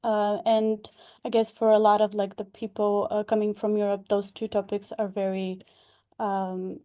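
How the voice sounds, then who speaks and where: Hesitant young woman, flat voice. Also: medium pitch, normal speed, clean tone. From Bulgaria. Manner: hesitant, many fillers. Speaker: past delegate and graduate scholar. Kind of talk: online information session talk. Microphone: video call.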